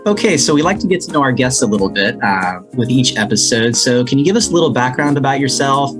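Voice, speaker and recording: A man's voice: mid-range voice, expressive, quick pace, music in the background. A man hosting a podcast interview, upbeat and enthusiastic with his guest. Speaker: podcast host.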